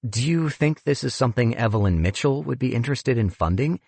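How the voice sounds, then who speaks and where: A man's voice: medium pitch, lively intonation, brisk, gravelly, a little mellow, dry recording, pitched above the performer's own voice. A man voicing an earnest, frustrated male paleontologist who talks politely and pleads. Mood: earnest pleading.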